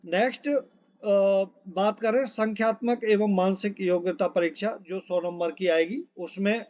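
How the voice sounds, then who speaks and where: A man's voice: high-pitched, fairly even intonation, a little slow, a little mellow, highs cut off. A man giving an informal instructional walkthrough as an exam coach, reading out lists and repeating points with frequent check-ins.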